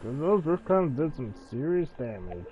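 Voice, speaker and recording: A man's voice: fairly high pitch, very animated, normal speed, phone-quality sound, background noise. A man streaming a video game, giving casual playthrough commentary and thinking aloud.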